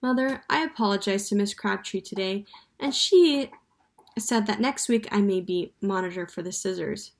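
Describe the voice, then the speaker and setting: A woman's voice: medium pitch, normal speed, vocal fry, natural voice. A woman voicing a pouty, cross little girl in a children's story. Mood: earnest.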